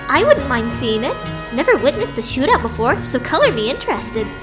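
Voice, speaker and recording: A woman's voice: high-pitched, big pitch swings, a little fast, over music. A woman playing a fearless, mouthy young hostage, sarcastic and taunting, in an audio drama. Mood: defiant, amused.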